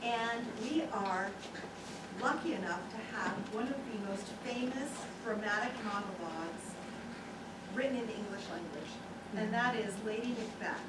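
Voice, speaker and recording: A woman's voice: mid-range voice, normal speed. A woman speaking as festival director, casually introducing live performances to an audience. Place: small theater stage. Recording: echoey theater from far off, loud noise.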